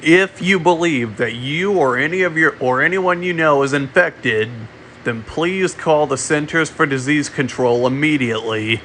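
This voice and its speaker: A man delivering a mock emergency alert in a halting way, often repeating and restarting his phrases. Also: on the high side, fairly bright tone, slight background noise. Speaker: amateur narrator of a fictional emergency broadcast. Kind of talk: mock emergency alert announcement, semi-improvised.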